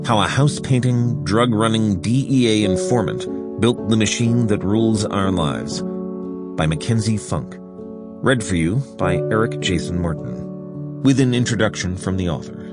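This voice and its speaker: A man narrating a nonfiction audiobook in a measured, even storytelling delivery, recorded close in a studio. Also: lowish voice, over loud music. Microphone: close studio microphone.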